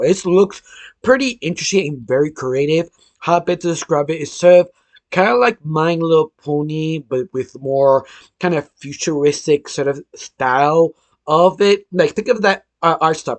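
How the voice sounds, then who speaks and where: A man with a hoarse voice from illness, hosting a casual cartoon news roundup, rambling with lots of filler phrases and restarts. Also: fairly high pitch, dry recording. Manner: rambling, heavy use of "sort of", frequent restarts. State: hoarse, losing his voice from illness. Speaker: cartoon news channel host.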